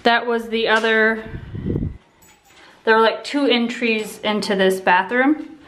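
A young woman gives a relaxed, rambling tour of a house under renovation, filming on a handheld phone as she walks room to room. Her voice sits a little high and she talks very slowly, trailing off and restarting her sentences. A faint hiss runs under the recording. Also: poor audio. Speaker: vlogger giving a home tour. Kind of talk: casual walkthrough narration. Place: indoors, empty rooms of a house under renovation. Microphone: handheld phone camera.

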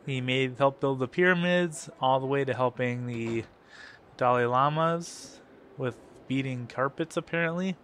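A man's voice: medium pitch, a little slow, a little hiss or hum. Man with a cold, casual game commentary. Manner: relaxed, hesitant, lots of ums. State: has a cold. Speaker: let's play host.

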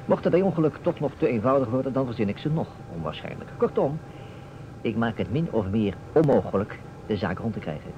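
A man acting a self-assured, slyly teasing detective, measured and deliberate with dramatic pauses. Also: medium pitch, expressive, close to an everyday voice. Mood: confident. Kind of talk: old Dutch radio play acting. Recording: muffled old radio recording, faint noise.

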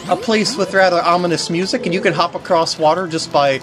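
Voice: mid-range voice, steady tone, a little fast, over music. A game walkthrough host giving casual, rambling commentary with mock exasperation.

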